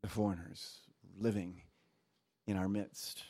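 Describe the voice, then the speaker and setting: A man raised in Japan giving a guest sermon, reading scripture and telling his own story in an animated, storytelling way with asides. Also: deep voice, slow pace. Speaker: guest speaker.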